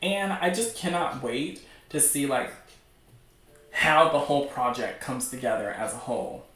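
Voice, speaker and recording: A man hosting a casual livestream, talking in a gushing, excitable, rambling way peppered with "like". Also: mid-range voice, a little slow. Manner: gushing, excitable fan enthusiasm; rambling, heavy use of "like". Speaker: livestream host. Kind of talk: casual livestream chat about webcomics. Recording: echoey room, distant from mic.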